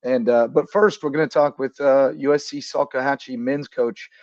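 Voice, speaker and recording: A slightly raspy man with a drawl hosts a relaxed sports chat, easygoing and full of "uh"s. His mid-pitched voice sounds dry and a little dull. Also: moderate pace, no echo. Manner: easygoing, rambling with many fillers. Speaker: podcast host. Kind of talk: casual hoops talk show.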